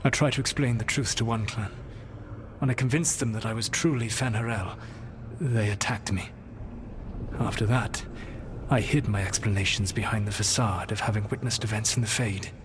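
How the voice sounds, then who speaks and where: A man playing an ancient, proud and regretful elven rebel in a video game, speaking formally and deliberately in a measured, solemn way. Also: lowish voice, in a large hall, a little hiss or hum, close to an everyday voice. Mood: regretful, grave. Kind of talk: video game dialogue acting.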